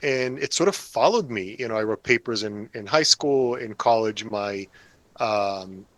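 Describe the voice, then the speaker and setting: A man's voice: lowish voice, expressive, unhurried, fairly bright tone, no echo. A man in his forties, an author being interviewed, talking casually with a wry, self-deprecating humor. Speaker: author guest.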